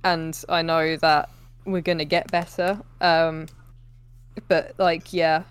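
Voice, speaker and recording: Low-voiced young woman in casual conversation. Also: level tone, takes it slowly, smooth. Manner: informal, conversational. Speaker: podcast co-host. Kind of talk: casual chat, informal film review.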